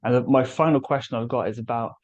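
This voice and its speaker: A man's voice: medium pitch, steady tone, fast, a little mellow. Host: friendly, enthusiastic man with a middling voice, recorded slightly dull and lo-fi. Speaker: interviewer, channel host. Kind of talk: casual interview chat.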